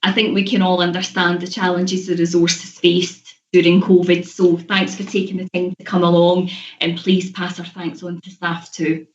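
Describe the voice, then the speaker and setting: A woman's voice: fairly low pitch, flat delivery, moderate pace. A woman chairing a formal committee meeting, moving business along and addressing those present. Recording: echoey, muffled video-call audio.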